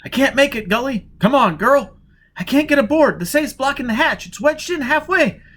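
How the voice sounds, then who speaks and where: A man voicing a cool, competent young woman spacer who stays steady under pressure. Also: very high-pitched, normal speed, voice pushed up, heavily acted. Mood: desperate.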